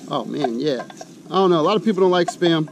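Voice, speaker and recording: A man's voice: lively intonation, fast talker, creaky, highs cut off. A middle-aged American man chatting casually in a folksy, colloquial way, thinking aloud as he demonstrates camp cooking. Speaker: host of an outdoor cooking channel. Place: outdoors. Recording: outdoor recording with loud ambient rumble.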